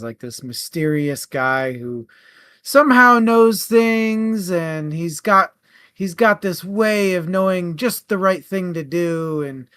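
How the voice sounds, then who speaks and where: Higher-pitched man, unhurried casual talk. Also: fairly bright tone, no echo. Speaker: book club show co-host. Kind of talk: casual book discussion.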